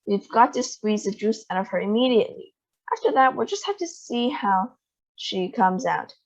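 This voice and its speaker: A woman's voice: mid-range voice, animated, moderate pace. A woman voicing an eccentric, weary candy maker in a read-aloud storybook, sighing and rueful. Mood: matter-of-fact. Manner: sighing, rueful, matter-of-fact. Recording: dull lo-fi recording.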